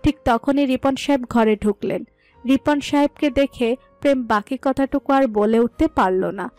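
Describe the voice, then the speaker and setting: A woman's voice: high-pitched, moderate pace, darkish tone, no echo, faint music behind. A woman reading a scripted story as its narrator.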